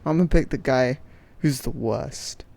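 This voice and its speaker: A woman's voice: extremely low pitch, a little slow, gravelly. A woman giving casual gameplay reactions as a streamer, in blunt, sweary, muttered asides. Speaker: game streamer. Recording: loud game music behind.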